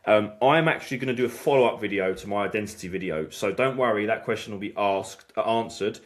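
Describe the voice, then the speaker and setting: Guy hosting a snooker chat, kinda low voice, lots of stops and restarts. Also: fast. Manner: hesitant, many restarts and fillers. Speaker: snooker channel host. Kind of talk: casual podcast chat.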